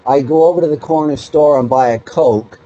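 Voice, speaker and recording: A man's voice: a little high, brisk, mellow, dull audio. A man speaking as an interview guest, explaining at length in an emphatic, repetitive way, full of rhetorical questions. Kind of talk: spiritual discussion, explaining at length. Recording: noisy mobile internet connection.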